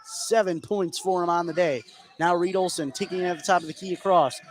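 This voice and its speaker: Higher-voiced American man calling basketball live. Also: fairly even intonation, a little fast, faint music behind. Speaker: play-by-play commentator. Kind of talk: live radio basketball play-by-play. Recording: noisy gym ambience behind commentary.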